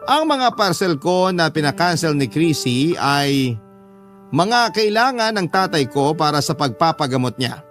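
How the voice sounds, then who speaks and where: A man's voice: a little high, big pitch swings, unhurried, fairly bright tone. A man with a Filipino accent narrating a first-person dramatic story as a radio drama narrator. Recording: music behind the voice. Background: background music.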